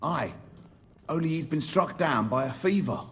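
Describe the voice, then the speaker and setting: An actor playing a weary, grumbling castle guard in a video game. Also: male voice, a little high, animated, normal speed, natural voice.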